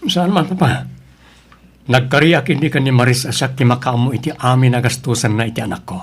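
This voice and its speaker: A man's voice: mid-range voice, a little fast, husky. A man acting a torn, evasive young father with a hesitant, defensive manner in an Ilocano family drama. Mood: defensive, troubled. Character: torn, evasive young father named Rigor, yearning for his child.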